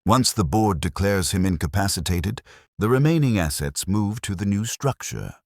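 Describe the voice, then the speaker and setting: A man's voice: lowish voice, expressive, normal speed, darkish tone, dry recording, studio quality, voice pushed up. A man voicing a cold, scheming woman executive in a narrated story, confident and calculating. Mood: cold satisfaction.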